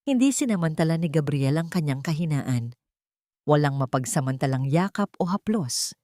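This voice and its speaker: A woman's voice: low-pitched, expressive, moderate pace, warm tone, dry recording, studio quality. A woman with a Filipino accent reading a scripted, dramatic story as a storyteller.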